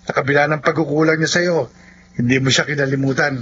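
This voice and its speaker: A man's voice: on the high side, fairly even intonation, brisk, fairly bright tone, husky. A man with a Filipino accent acting an earnest, loyal young best friend in a radio drama. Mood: cheerful, friendly. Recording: dull lo-fi radio, faint music behind.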